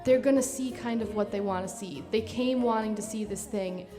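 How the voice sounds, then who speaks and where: A woman talks casually in an interview, recalling things in an informal, animated way. Her voice sits at a middle pitch, and music plays clearly behind her. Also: normal speed. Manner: informal, animated retelling with frequent "like". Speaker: dancer interviewed about touring.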